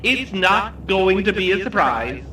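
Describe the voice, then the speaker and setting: Bright, theatrical, menacing tour guide. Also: male voice, high-pitched, sing-song, reverberant, distant mic, a little hiss or hum, heavily acted. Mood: gleeful explaining. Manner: taunting, showman-like, dramatic pauses. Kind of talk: scripted audio horror comedy acting.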